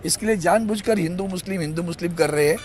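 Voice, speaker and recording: A man's voice: high voice, quick pace, a little mellow, a little hiss or hum. A man giving unscripted political remarks to reporters.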